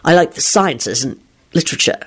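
A woman's voice: sits low, expressive, moderate pace, husky. A woman voicing a polite, wary father with a reserved, courteous manner in a storybook reading.

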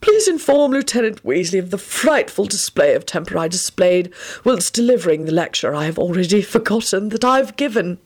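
A sleepy, whimsical old wizard , as a woman reader puts him on, drawling his words slowly with a lofty, mannered air. His pitch climbs and dips a lot. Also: very animated, a little mellow. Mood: serene. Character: aged male wizard headmaster, drowsy and whimsical.

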